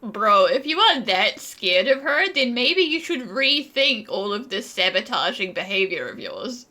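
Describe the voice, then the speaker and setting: A woman's voice: a little high, sing-song, unhurried, bright, forceful tone. A woman giving casual playthrough commentary, playfully bantering and talking back to game characters. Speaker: gaming streamer.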